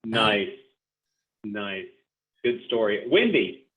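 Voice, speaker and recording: A man's voice: lowish voice, very animated, extremely slow. A man leading a sales class on a video call, encouraging and talkative as he moves around the group. He sounds like he is coming through a narrow, tinny phone line. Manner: upbeat, chatty, encouraging.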